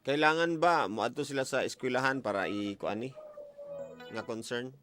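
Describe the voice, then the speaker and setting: A man's voice: medium pitch, normal speed, a bit bright. A man with a Cebuano accent hosting a casual radio call-in, chatting in a playful, teasing, informal way. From Philippines. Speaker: radio host. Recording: music playing under the voice. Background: background music.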